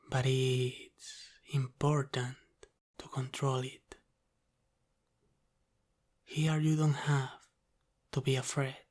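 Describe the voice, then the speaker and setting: A man playing a teasing, protective Italian-American mafia boss in an intimate ASMR roleplay, speaking softly and deliberately and slipping into whispers. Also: medium pitch. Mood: reassuring, protective.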